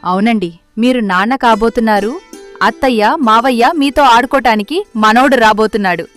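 A woman's voice: a little high, a little fast, a bit bright, faint music behind, lightly acted. Woman playing a scheming young daughter-in-law. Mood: happy, assured. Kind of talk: acted dialogue in a Telugu moral story.

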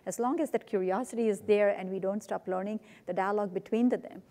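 A woman's voice: medium pitch, steady tone, quick pace, dark tone. A woman speaking on a conference panel through a lapel mic, earnest, often repeating "means" and "right?". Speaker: panelist, corporate IT executive. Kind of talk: panel discussion. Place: conference stage.

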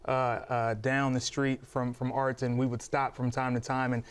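A man's voice: mid-range voice, moderate pace, clean tone. A man in his thirties, a guest reviewer, talking casually about a restaurant with lots of fillers, at a studio dinner table. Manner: relaxed, filler-heavy storytelling. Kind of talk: casual chat. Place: TV studio set at a dining table.

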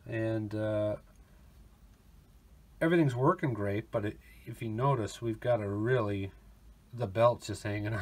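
A man giving casual, rambling commentary as he works hands-on at a workbench, thinking aloud informally. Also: mid-range voice. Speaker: repair video host, technician. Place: small indoor workshop at a workbench. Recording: clear background noise, handling rustle.

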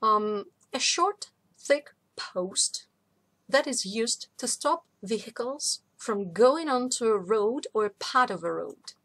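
A woman teaching a vocabulary lesson in an informal, explanatory way, repeating key words slowly for learners. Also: on the high side, expressive, fairly bright tone, slightly rough. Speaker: English teacher. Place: voice-over of a screen recording.

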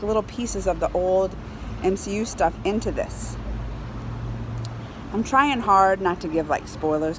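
A woman in her thirties chatting casually to camera as a movie reviewer, emphatic and excitable, repeating phrases for effect. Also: fairly low pitch, rough recording. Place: outdoors on a high balcony over a city. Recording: outdoor city noise, passing cars. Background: urban traffic ambience.

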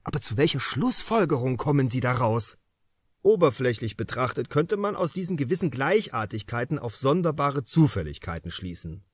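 A man reading as a confident detective in a crime audiobook. He lectures methodically and assertively, with very lively intonation and a dry, close sound. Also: medium pitch, moderate pace, no echo. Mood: explaining, assured. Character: confident male police detective explaining his deductions. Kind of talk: audiobook character dialogue.

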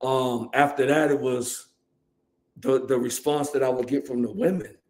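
A man's voice: mid-range voice, fairly even intonation, normal speed, a little mellow. A man in his forties with an American accent, a rapper guest chatting casually in slang and repeating phrases as he tells stories. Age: 40s. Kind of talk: casual interview chat. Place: dim large room indoors, on a video call.

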